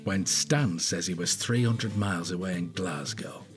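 An older British man acting a shrewd, blunt, gruffly affectionate detective inspector and husband in a naturalistic radio drama. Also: sits low, normal speed, music in the background, lightly acted. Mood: urgent, intent. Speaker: actor in an audio drama.

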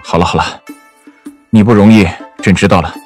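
Low-voiced, scheming emperor, played by a man. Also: steady tone, fairly quick, faint music behind, close to an everyday voice. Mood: grudging. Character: scheming, petty emperor, feigning regret. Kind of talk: period audio drama acting.

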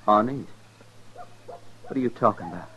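A man's voice: fairly low pitch, moderate pace, bright, forceful tone, slightly rough. An American man playing a cornered frontier gun smuggler, defiant but fearful, with folksy speech, in 1950s radio western style. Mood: wary, defiant. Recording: muffled, noisy 1950s radio recording.

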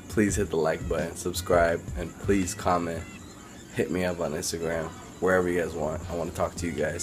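A man in his twenties chatting casually to a handheld phone camera, relaxed, with lots of fillers. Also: low voice. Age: 20s. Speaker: vlogger. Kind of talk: casual daily vlog. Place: car, store and home kitchen. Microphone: handheld phone at arm's length.

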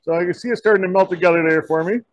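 A man in his forties, a shop teacher, talking through a torch welding demonstration in a relaxed, folksy way in an indoor metal shop. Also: sits high, very fast, no echo. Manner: relaxed, folksy, many "kinda" and "you know" fillers. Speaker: diesel technology teacher.

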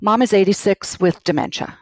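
A woman's voice: mid-range voice, a little fast, dry recording. A woman in her sixties with a Minnesota accent calling in to an advice show, plainspoken and a little anxious. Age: 60s. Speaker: caller. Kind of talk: phone-in conversation.

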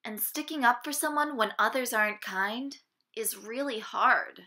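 A woman reading a children's picture book aloud in an expressive, deliberate way, phrasing it for young listeners. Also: fairly high pitch. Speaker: storybook reader.

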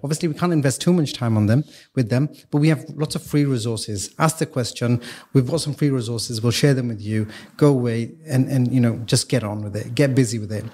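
Male podcast guest, mid-pitch voice, talks through business video strategy casually, stumbles and repeats words as he goes. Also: brisk, darkish tone, slightly rough. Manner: explanatory, many restarts and repeated words. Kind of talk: casual expert explanation.

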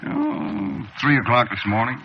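An actor playing a chatty, folksy bartender who talks with loose colloquial grammar in a 1940s radio drama. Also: male voice, medium pitch, huge pitch swings, unhurried, over music. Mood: sly, chatty. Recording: lo-fi old radio broadcast, faint hiss.

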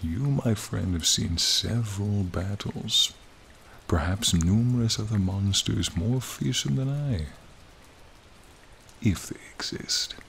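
Man voicing a deep, gravelly, courtly frost dragon. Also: full character voice. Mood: courteous, curious. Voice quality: breathy, often sinking to a whisper. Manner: slow, courtly, deliberate. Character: ancient-sounding male frost dragon, charming, courtly, menacing turned friendly. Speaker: ASMR roleplay narrator. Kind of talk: ASMR roleplay, dramatic monologue. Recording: steady rain-like ambient noise.

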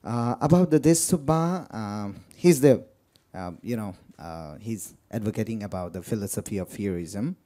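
Halting Nepali-accented man, very dark voice. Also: on the low side. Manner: halting, many fillers and restarts. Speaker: moderator. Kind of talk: introducing a speaker at a book talk. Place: lecture room.

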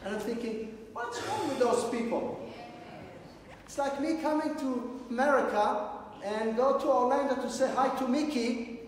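A middle-aged man with an Israeli accent, a native Hebrew speaker, preaching a lively sermon and asking his congregation questions as he moves around the stage. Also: extremely high pitch, normal speed. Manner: animated, paces the stage, asks the congregation questions. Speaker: guest preacher. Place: large sanctuary with stage and pulpit. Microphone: room mic from a far camera. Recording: echoey hall from far off.

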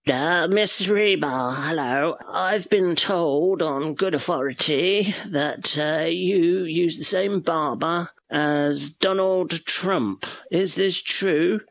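Man playing a slow, high-voiced nosy press reporter. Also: gravelly, fairly bright tone, soft background music, exaggerated voice. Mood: prying. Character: persistent press reporters lobbing absurd accusatory questions. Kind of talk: comedy radio theater press conference.

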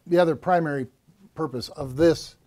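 An older man co-presenting a technical explanation in a measured, didactic way, repeating key phrases. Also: fairly high pitch, warm tone. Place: small acoustically treated home theater room.

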